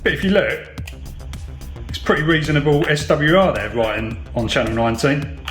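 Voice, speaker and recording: A man's voice: fairly high pitch, normal speed, fairly bright tone. Man chatting casually, faint music underneath. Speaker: hobby radio video host. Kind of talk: casual demonstration chat.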